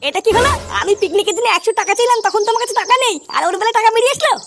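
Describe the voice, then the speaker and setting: Woman doing a squeaky, brash young layabout man. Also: rapid-fire, soft background music. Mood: indignant. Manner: cocky, slangy, foul-mouthed. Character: brash, boastful young man, idle club-going layabout. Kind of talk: comedy cartoon voice acting.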